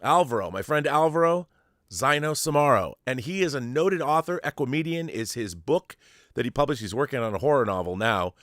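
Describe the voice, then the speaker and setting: A man's voice: medium pitch, lively intonation, a little fast, fairly bright tone, dry recording. Theatrical man hosting, close dry sound. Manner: theatrical, grandiose, playful. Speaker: host of a pop culture show. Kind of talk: showy scripted-sounding intro and casual fan discussion.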